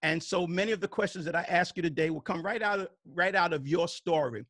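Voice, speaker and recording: A man's voice: a little high, quick pace, fairly bright tone, dry recording, studio quality. A male podcast host introducing a guest and asking questions in a welcoming way, with frequent fillers. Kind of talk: interview conversation.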